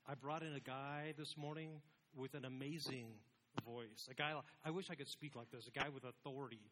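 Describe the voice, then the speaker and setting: A man's voice: medium pitch, fairly even intonation, brisk, a bit bright, a little hiss or hum. A man preaching an informal, conversational sermon as a pastor, with a casual, self-deprecating manner.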